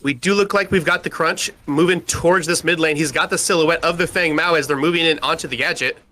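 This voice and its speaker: A man calling an online match with a loud, powerful voice and rapid, energetic play-by-play. His tone is bright and forceful, and the words pour out quickly. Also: on the high side, a little hiss or hum. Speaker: esports caster. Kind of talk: play-by-play commentary.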